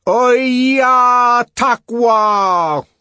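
A man's voice: extremely high pitch, extremely slow, bright, forceful tone, clear, resonant tone, no echo, studio quality, pitched above the performer's own voice, exaggerated voice. A man voicing an exasperated, defiant young man who bawls with ferocious derision in an audiobook story. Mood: derisive fury.

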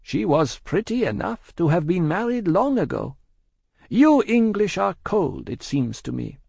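A man voicing a cold, composed French tavern-keeper's wife in an audiobook, speaking in measured, curt phrases. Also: high voice, big pitch swings, gravelly, a little mellow, breathy edge, no echo, voice pushed up, exaggerated voice. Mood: cool, probing.

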